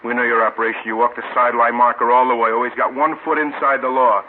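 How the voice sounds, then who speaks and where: A blunt, tough American police detective played by a male actor with a medium-pitched voice. He talks rapidly in clipped phrases. It sounds like a muffled, slightly hissy old radio broadcast. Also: level tone, natural voice. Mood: stern. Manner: blunt, clipped, hard-boiled. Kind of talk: 1950s radio crime drama acting.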